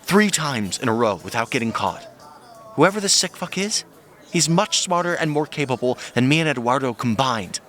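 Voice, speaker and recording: A man's voice: mid-range voice, expressive, normal speed, fairly bright tone, faint music behind, natural voice. A man playing a skeptical, candid friend of a detective, emphatic and sardonic, in a naturalistic audio drama.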